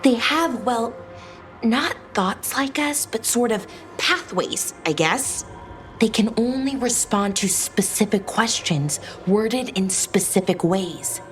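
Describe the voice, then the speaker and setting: An earnest young woman scholar. She talks in a lively, slow, halting way, curious and awkward, over faint music. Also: a little high. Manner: hesitant, thinking aloud, chatty.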